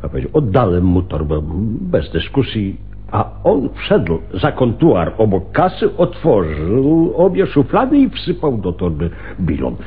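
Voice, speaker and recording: Flustered shopkeeper, wildly varying pitch. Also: male voice, wildly swooping pitch. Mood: agitated. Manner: hesitant, flustered, halting. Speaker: radio drama actor. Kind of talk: radio play acting. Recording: narrow, phone-like radio recording with hiss.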